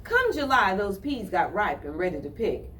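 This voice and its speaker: A woman telling a children's story with deliberate phrasing, addressing young listeners directly. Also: medium pitch, sing-song, fairly bright tone, far from the mic.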